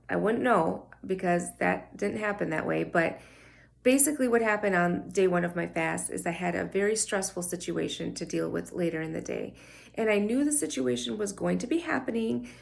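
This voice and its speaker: A woman speaks straight to the camera in an open, chatty vlog. Her voice is scratchy and thick with gravelly fry, as if she has only just woken up. She talks in a personal, candid way. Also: medium pitch. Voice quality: scratchy morning voice. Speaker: vlogger. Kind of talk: casual talk to camera.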